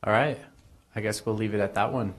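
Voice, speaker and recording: A young man hosting a live event, moderating in a brisk, upbeat way. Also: on the low side, fairly even intonation. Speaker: event host. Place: small event stage with audience. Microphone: handheld mic.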